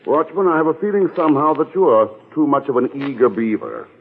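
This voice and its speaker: An actor with an American accent playing a cool, wisecracking, money-minded hero in a 1940s radio adventure. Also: male voice, fairly high pitch, lively intonation, fast talker, background noise. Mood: coolly sardonic. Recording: tinny, band-limited old radio recording.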